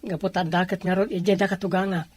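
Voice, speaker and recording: A woman's voice: fairly low pitch, level tone, quick pace, darkish tone, slightly rough, no echo. A woman playing a polite, friendly factory worker in an audio drama.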